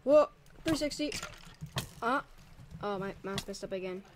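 A man's voice: sits high, lively intonation, unhurried, background noise. A young man, probably a teen, casually commentating a Minecraft let's play as server host, rambling and easily startled. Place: home room by a window. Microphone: desk mic, drifts off it.

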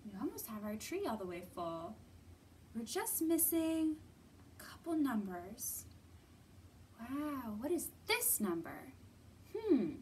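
Slow, lilting woman hosting children's storytime. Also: on the high side, big pitch swings, darkish tone, a little hiss or hum. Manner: simple questions to small children, drawn-out words, thoughtful hums. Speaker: children's librarian and storytime host. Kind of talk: children's storytime teaching, read-aloud. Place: indoors in a library.

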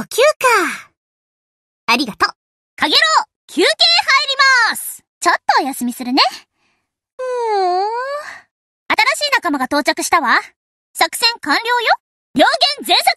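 A woman's voice: very high-pitched, pushed and bright, no echo, clean studio sound, exaggerated voice. A woman voicing a spirited, confident teenage destroyer girl in an anime game, perky, assertive and a little bossy. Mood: relaxed, pleased. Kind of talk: Japanese anime game voice acting.

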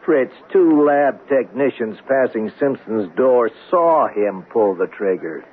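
A man playing a measured, cautious police captain in a 1970s radio drama, matter-of-fact and procedural. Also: mid-range voice, animated. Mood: cautioning. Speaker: actor in a radio mystery drama. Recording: narrow, noisy old radio recording.

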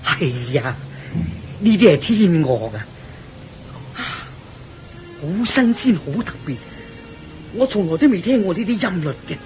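An actor with a Hong Kong Cantonese accent playing a kindly, wise older Buddhist teacher, patient and full of wonder in a radio drama. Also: male voice, high voice, big pitch swings, measured pace, pauses often, darkish tone, soft background music. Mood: wondering, awed.

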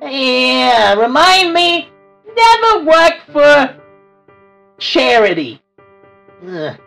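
A woman plays a small, grumpy cartoon devil with a high, whiny voice. The delivery is slow and petulant, full of complaint. The mic sounds a little distant, with faint music behind. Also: sing-song, gravelly, fairly bright tone, heavily acted. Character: short, grumpy, whiny cartoon devil who hates work. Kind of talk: improvised cartoon roleplay.

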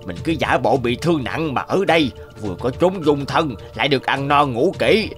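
A man's voice: medium pitch, expressive, moderate pace, fairly bright tone, faint music behind, lightly acted. A man voicing a sly, greedy tanuki in a cartoon, with a whining, exclamatory way of talking. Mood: smug, scheming. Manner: scheming, whiny, exclamatory with groans. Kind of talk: cartoon voice acting.

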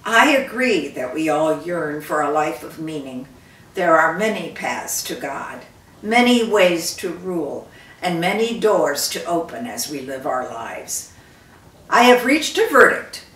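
Slow, commanding wise judge read by a woman. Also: sits low, sing-song, fairly bright tone, heavily acted. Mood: firm, solemn. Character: wise, fair, authoritative judge. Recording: roomy, distant microphone.

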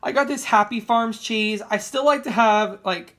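A woman talking in a casual, rambling, informal way as a vlogger, with a creaky voice, recorded indoors at home on a handheld camera. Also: on the high side, normal speed, fairly bright tone. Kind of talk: casual haul vlog chat. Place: indoors at home, over a table of groceries.

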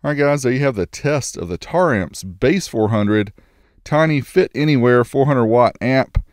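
A man's voice: mid-range voice, animated, normal speed. A man reviewing car audio gear, narrating a test in a matter-of-fact, casual, technical way.

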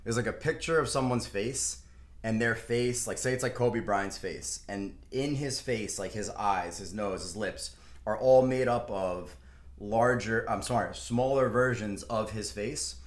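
A young man walks through stock charts at his desk, talking loosely with plenty of fillers. His voice sits on the low side. The room around him echoes noticeably. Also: a little slow. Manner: rambling, filler-heavy, laughs to himself. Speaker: stock market video host. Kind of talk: casual chart walkthrough. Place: room at a desk, in front of a screen.

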